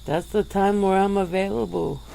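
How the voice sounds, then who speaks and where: A woman voicing a centuries-old female vampire who speaks slowly and softly, with breathy little laughs, in an ASMR roleplay. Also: medium pitch, fairly even intonation, slight background noise, pitched above the performer's own voice, poor audio. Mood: teasing, languid. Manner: slow, soft-spoken, with breathy little laughs.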